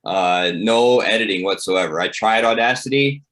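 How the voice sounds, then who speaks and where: A man with a fairly low, bright and forceful voice talks casually on a video call. He tells anecdotes in the manner of a podcaster. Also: normal speed.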